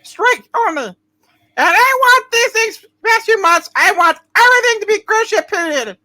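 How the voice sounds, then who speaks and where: A woman with a high, bright voice takes part in a playful script reading. Her pitch jumps around in an animated way, and she keeps interjecting with jokes. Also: moderate pace. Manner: interjecting, joking. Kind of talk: playful hammed-up play reading with ad-libbed asides.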